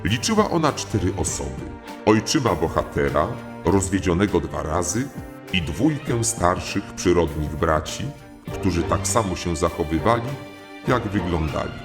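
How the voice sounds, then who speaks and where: A man's voice: low-pitched, expressive, normal speed. A man narrating a comic audio fairy tale as a formal, courteous storyteller. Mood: measured. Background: music.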